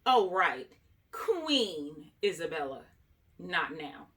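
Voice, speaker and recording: A woman's voice: on the low side, very animated, slow, a bit bright, pitched below the performer's own voice, full character voice. A woman voicing a serious, bossy, slightly irritated mother in a children's storybook, firm and no-nonsense. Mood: dismissive. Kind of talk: storybook read-aloud dialogue.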